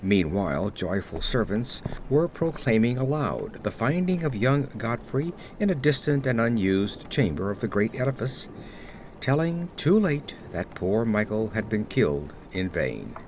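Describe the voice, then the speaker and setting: A man with a fairly low voice narrates gothic horror in a solemn, measured way. His intonation rises and falls widely through the old-fashioned prose, over faint noise and ambience. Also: sing-song, a little mellow. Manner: measured, solemn, archaic storytelling. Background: atmospheric ambience.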